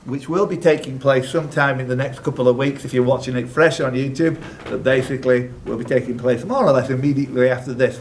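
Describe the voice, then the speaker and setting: A man's voice: mid-range voice, slight background noise. A man hosting a live quiz, reading out questions with jokey, teasing asides to the contestants. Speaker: quiz host and question reader.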